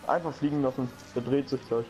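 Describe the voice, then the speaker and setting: A man's voice: mid-range voice, steady tone, quick pace, a little mellow, faint music behind, poor audio. A man co-playing a racing game, laid-back and teasing, laughing often. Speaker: co-player. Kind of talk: casual let's-play chat.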